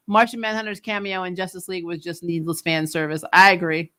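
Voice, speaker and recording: A woman with a somewhat low voice hosts a casual recap show. She talks off the cuff like a fan answering viewers, blunt and opinionated. Her manner is exasperated and freely sweary. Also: fairly even intonation, normal speed, bright, forceful tone. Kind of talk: casual opinionated fan commentary, replying to live comments.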